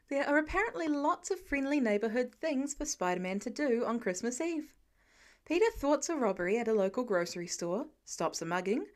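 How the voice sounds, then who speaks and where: A woman narrates a piece of fiction as an audiobook reader. Her voice sits a little higher than most women's. The delivery is scripted storytelling, read aloud line by line.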